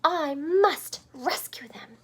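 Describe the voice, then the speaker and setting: A woman voicing an innocent young female bat in a storybook, earnest and squeaky, with wide-eyed exclamations. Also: takes it slowly. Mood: alarmed.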